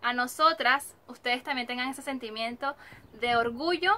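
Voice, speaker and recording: Latin American woman host, upbeat, high and bright. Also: normal speed. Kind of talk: scripted video introduction.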